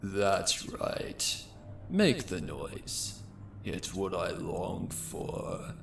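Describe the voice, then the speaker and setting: A man's voice: sits low, very animated, very slow, gravelly, reverberant, recorded from a distance, over music, heavily acted. A man voicing a sadistic pony killer from a cult, menacing and eerily playful as he taunts his victims. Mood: sadistic relish.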